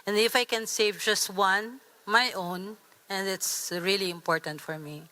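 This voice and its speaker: A woman's voice: medium pitch, fairly even intonation, measured pace, pushed and bright, rough recording. A woman with a Filipino accent speaking reflectively and earnestly as a panelist. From Philippines. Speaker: writer on a discussion panel.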